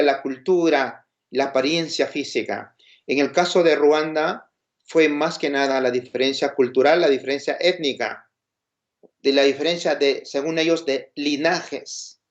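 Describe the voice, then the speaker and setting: Higher-voiced Peruvian man lecturing, dull recording. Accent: Peruvian Spanish. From Peru. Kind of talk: informal lecture with rhetorical questions. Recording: dull, lo-fi sound.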